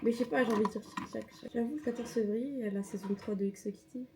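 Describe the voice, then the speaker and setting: Young woman, higher voice, lively insistent friend in casual talk; clear noise behind. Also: rapid-fire. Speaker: friend on the trip. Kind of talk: casual chat.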